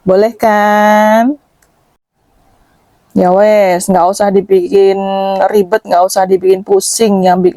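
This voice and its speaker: Slow-talking, self-deprecating woman vlogger. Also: dark tone, no room sound. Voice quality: shrill, thin. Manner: self-deprecating, chatty, addresses viewers as friends. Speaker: makeup artist and channel host. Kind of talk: casual chatty voice-over narration. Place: dubbed voice-over, dry close recording.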